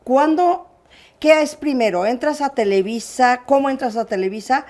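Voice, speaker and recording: A woman hosting an interview, chatty and playful with quick interjections. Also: high-pitched, darkish tone. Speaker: interview host. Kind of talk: friendly interview conversation.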